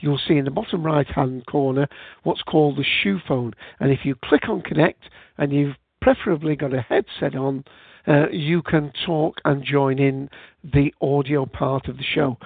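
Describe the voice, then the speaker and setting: A man's voice: fairly high pitch, vocal fry, no echo. A British man hosting a casual podcast into a USB headset, rambling with frequent fillers. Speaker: podcast host. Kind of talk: casual solo podcast talk. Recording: tinny phone-like line.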